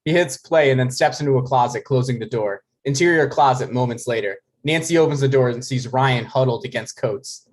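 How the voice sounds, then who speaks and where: A man narrates screen directions for a live script reading. His voice sits at a middling pitch as he introduces and describes each scene. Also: brisk. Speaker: host and reader of screen directions.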